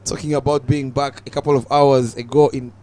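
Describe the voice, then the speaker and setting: A young Ugandan man giving live cricket commentary, calm and measured, lifting his voice on the big moments. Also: mid-range voice.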